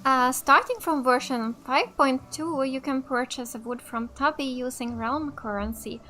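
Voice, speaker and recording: A woman giving casual, expressive, exclamatory let's play commentary. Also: high-pitched, unhurried, a little hiss or hum. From Finland. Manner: expressive, exclamatory, reading aloud and reacting. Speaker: gaming streamer. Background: game music.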